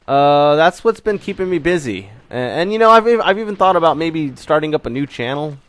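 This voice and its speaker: A man's voice: a little high, big pitch swings, fairly quick, fairly bright tone, dry recording. A young man giving a casual channel update, rambling with many fillers and restarts while still getting over a cold. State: recovering from a cold. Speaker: gaming channel host.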